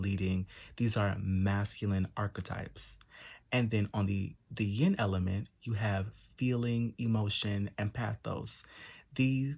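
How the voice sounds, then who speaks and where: A man with a soft, slightly low and breathy voice explains things in a calm, methodical way. He works through lists point by point and pauses often to find his words. It sounds like a presenter teaching an audience. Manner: measured, methodical listing with pauses and fillers. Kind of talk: explanatory talk to camera.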